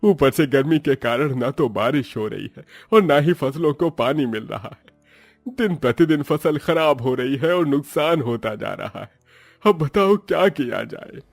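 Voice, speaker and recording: A man's voice: mid-range voice, expressive, a little slow, creaky, dry recording, soft background music. A man voicing a poor, middle-aged farmer and father in a village story, kindly, earnest and lamenting. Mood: worried, pleading.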